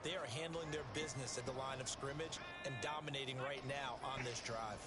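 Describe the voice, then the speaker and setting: A man's voice: medium pitch, steady tone, a little fast, loud music behind the voice, close to an everyday voice. A man voicing a folksy, emphatic color analyst in a football video game. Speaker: color commentator.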